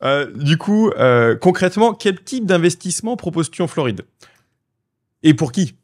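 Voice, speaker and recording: A man's voice: a little high, big pitch swings, normal speed. A man interviewing a guest in a studio, asking questions and affirming the answers. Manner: brief affirming backchannels and questions. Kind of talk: conversational interview.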